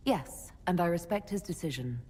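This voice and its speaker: An actress playing a composed, supportive female leader in a fantasy game, calm and measured, with a husky voice. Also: lowish voice. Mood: concerned. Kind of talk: dramatic game voice acting. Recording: long reverb.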